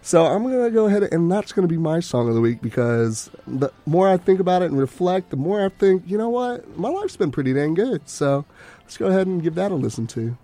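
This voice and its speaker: A man's voice: high-pitched, big pitch swings, a little fast, warm tone. A young man co-hosts a radio podcast with a stuffy, congested voice from a recent cold. He rambles along reflectively with plenty of fillers. Faint music plays behind. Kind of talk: casual chat.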